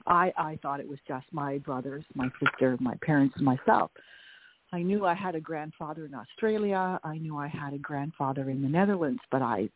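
A woman's voice: low-pitched, brisk, slight background noise. A middle-aged woman from Canada, an author, telling her family story in an interview and often repeating words as she recalls. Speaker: author guest. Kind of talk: interview over phone. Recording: tinny phone line.

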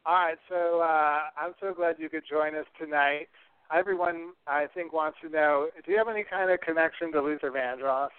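A man's voice: on the high side, fairly even intonation, moderate pace, clear, resonant tone, no room sound. A man hosting a podcast in an upbeat interviewing style, heard over a narrow line. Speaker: podcast host.

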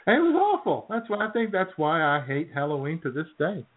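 A man's voice: on the high side, huge pitch swings, fairly quick. Man chatting casually on tinny phone-like audio. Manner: jokey, self-deprecating storytelling. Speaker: radio show co-host. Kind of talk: casual comedic chat.

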